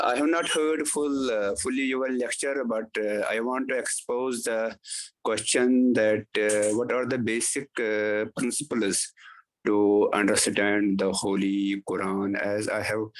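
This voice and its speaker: A man's voice: fairly low pitch, fairly even intonation, a little slow, low-quality recording. A man asking his teacher a question in an online class, hesitant and formally polite, with many fillers. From Kashmir.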